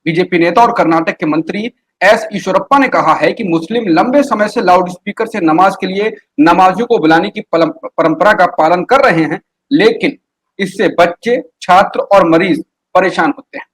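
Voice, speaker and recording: A man with a notably high voice anchors the news. He reads from a script in a news narration style, reporting item after item to the viewer. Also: moderate pace, rough recording.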